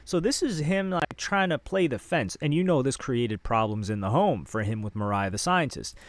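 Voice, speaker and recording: A man's voice: medium pitch, animated, moderate pace, dry recording. American man narrating gossip, close dry sound. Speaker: online gossip commentator. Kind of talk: news-style gossip commentary, reading out posts.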